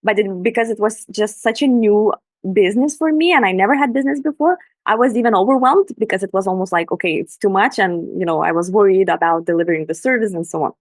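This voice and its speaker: A woman's voice: medium pitch, fast, no echo. Enthusiastic woman presenting. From Lithuania. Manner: enthusiastic, earnest. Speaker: Instagram business coach, guest. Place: room in Bali at night. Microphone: video call.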